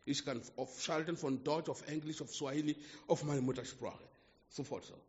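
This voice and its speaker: A man preaching a sermon to a small congregation in German with a non-native accent, slow and emphatic, swinging between hushed and loud. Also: a little high, fairly even intonation. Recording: dull lo-fi sound with long hall reverb.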